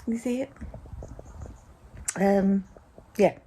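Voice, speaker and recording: A woman vlogging from a cold sunroom at home first thing in the morning, chatting in a rambling way with plenty of ums, her voice still croaky from sleep. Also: mid-range voice, steady tone, extremely slow, dark tone, slight background noise, rough recording. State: croaky, just woken up. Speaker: vlogger, mother. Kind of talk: casual morning vlog chat to camera.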